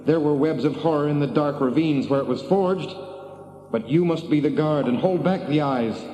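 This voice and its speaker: An actor playing an earnest, haunted hobbit hero in a dramatised fantasy audiobook, theatrical and urgent. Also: male voice, a little high, normal speed, mellow, slight background noise, lightly acted. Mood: grave, wary. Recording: echoey cavern reverb.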